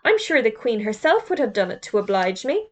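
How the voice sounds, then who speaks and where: A woman's voice: on the high side, sing-song, brisk. A woman doing a smug, boastful schoolboy. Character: cocky, boastful schoolboy fresh from being a frog.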